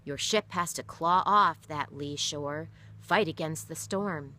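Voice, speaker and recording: A woman's voice: low-pitched, slow pace, pushed and bright, slight background noise, heavily acted. A woman voicing a weary father, once a ship captain, who explains things firmly in a read-aloud story. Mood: earnest. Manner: explaining, firm.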